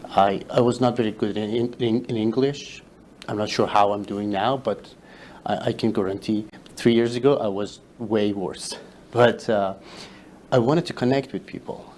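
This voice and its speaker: A man whose first language is Farsi, a guest telling his story in a casual interview, halting with many fillers and restarts. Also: on the low side, darkish tone, slight background noise. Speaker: interview guest, social media creator.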